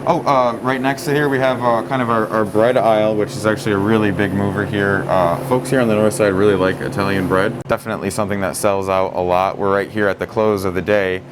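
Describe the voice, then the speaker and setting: A man's voice: lowish voice, quick pace, hardly any pauses, noisy background. A man showing visitors around his grocery store, talking casually with lots of fillers and restarts. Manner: relaxed, many fillers and restarts. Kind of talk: casual store tour talk.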